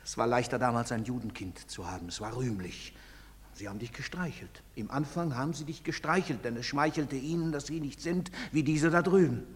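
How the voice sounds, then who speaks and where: A man's voice: mid-range voice, slight background noise, lightly acted. An actor playing a desperate, guilt-ridden middle-aged father in a theatrical drama, urgent and imploring. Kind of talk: theatrical radio drama acting. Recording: long hall reverb.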